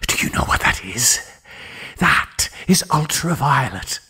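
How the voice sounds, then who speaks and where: A man's voice: mid-range voice, sing-song, a little slow, sharp tone, slightly rough, full character voice. A man voicing a fanatical, gloating mad scientist in a horror audiobook, with a theatrical, sinister manner. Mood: gloating, intense. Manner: theatrical, drops into whispers, sinister chuckling. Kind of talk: dramatic character acting in horror audiobook.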